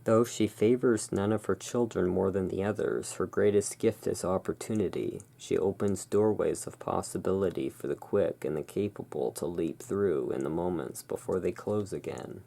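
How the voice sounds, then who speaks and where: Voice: low-pitched, normal speed, vocal fry, hardly any pauses, mellow. A person playing the solemn owner of a gothic curiosity emporium, reading portentously in an ASMR tarot roleplay. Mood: grave, mystical.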